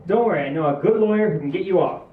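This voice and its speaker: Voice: medium pitch, expressive, rapid-fire, lightly acted. A reader playing a needy, bumbling male family attorney in a casual comedy table read. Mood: flustered. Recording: roomy, distant, phone-like sound.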